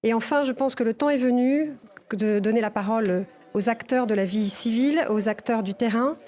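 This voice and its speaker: A woman's voice: on the high side, moderate pace, darkish tone. A woman election candidate giving her opening statement in a debate, earnest, with some hesitations.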